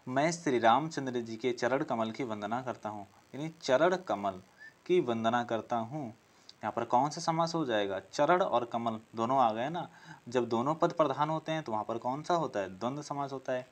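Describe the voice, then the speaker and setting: A man's voice: mid-range voice, moderate pace. A man teaching an exam-prep class, working through questions, asking them aloud, answering them and repeating key phrases as he explains.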